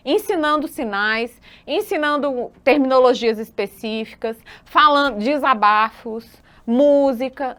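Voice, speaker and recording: A woman's voice: fairly high pitch, a bit bright. A woman with a Brazilian Portuguese accent teaching an online class over a video call, explaining patiently and often checking in with her students. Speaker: sign language teacher.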